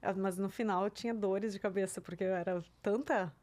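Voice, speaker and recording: A woman's voice: medium pitch, steady tone, fairly quick, a little mellow. Woman, 30s, German native speaker, interview guest, reflective, casual. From southern Brazil. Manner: reflective, tags sentences with "né?", light laughs. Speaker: interview guest, career mentor.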